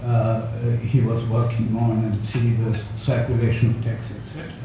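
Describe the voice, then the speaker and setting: A man's voice: mid-range voice, level tone, normal speed. Older man lecturing in echoey hall. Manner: hesitant, many fillers, chuckles. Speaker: academic speaker. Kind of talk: conference talk. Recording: echoey hall, distant mic, noisy.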